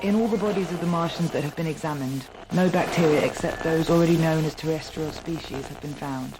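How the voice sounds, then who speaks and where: A woman's voice: low-pitched, a little fast, noisy background, lightly acted. A woman playing a chronicler of an alien invasion's aftermath in an audio drama, narrating in a formal, detached, literary way. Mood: detached, reflective.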